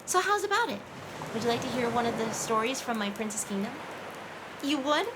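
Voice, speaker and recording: A woman playing a sweet, playful mermaid who tells stories to children in an animated, coaxing way. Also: a little high, fairly quick, lightly acted. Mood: excited, inviting. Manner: animated, coaxing, asks listeners questions. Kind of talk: children's storytelling, addressed to the audience. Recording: faint background ambient noise.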